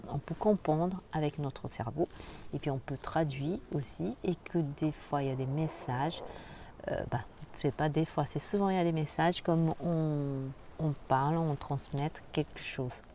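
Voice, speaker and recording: A woman hosting a spiritual channel, talking casually and directly to the viewer in a soft, halting way with frequent hesitations. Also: sits low, a little hiss or hum. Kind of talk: casual spoken vlog monologue.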